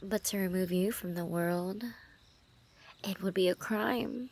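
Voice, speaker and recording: A woman playing a lovestruck assassin in an intimate ASMR roleplay, teasing and menacing, speaking softly and often dropping into whispers. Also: lowish voice, unhurried. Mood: softly earnest.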